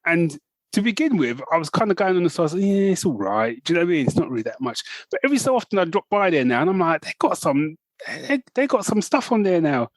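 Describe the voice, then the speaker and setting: A man's voice: fairly high pitch, very animated, fast, dry recording. A man co-hosting a podcast, teasing his partner in playful casual banter. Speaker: podcast co-host. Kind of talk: casual chat.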